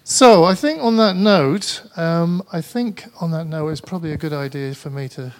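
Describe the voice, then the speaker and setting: Tired Mancunian man, slightly high voice. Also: moderate pace. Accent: Mancunian English. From Manchester, England. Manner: hesitant, restarts often, conversational. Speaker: conference speaker. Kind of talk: presentation with audience Q&A.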